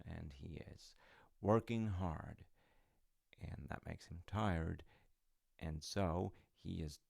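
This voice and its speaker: Man acting a slow, deep-voiced, gentle storytelling dad. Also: creaky, no room sound, studio quality, exaggerated voice. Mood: fond, wry. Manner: unhurried, conversational, musing, with wry asides. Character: gentle, wryly amused dad telling his kid a folktale. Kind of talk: audio roleplay, rambling bedtime-style storytelling.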